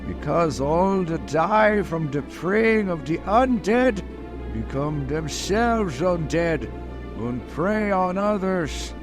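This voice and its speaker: Grave foreign professor hunting vampires, real slow, old radio play, bit of music behind. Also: male voice, on the high side, expressive. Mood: urgent, solemn. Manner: deliberate, rhetorical questioning. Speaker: actor. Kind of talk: vintage radio drama acting.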